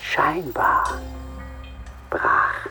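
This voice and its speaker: An actor playing a ghostly dead poet who intones broken single words in a halting whisper in a radio play. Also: male voice, over music. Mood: eerie. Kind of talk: experimental radio play recitation.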